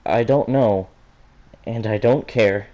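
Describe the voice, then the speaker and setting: Man acting out teenage girl, lovestruck, bold yet flustered, eager storybook dialogue, mellow tone. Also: on the low side, takes it slowly. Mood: confident.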